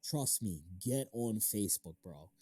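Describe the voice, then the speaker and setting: A man's voice: fairly low pitch, expressive, a little slow, mellow, breathy edge, no echo, clean studio sound. A man hosting a casual interview, talking in slangy, profanity-laced hype as he tells stories. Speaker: interviewer, YouTube channel host.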